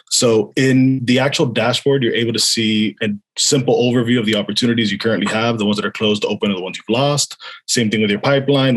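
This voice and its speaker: A man giving an explanatory software demonstration in an informal, unscripted way. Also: lowish voice, poor audio. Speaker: software developer giving a product demo.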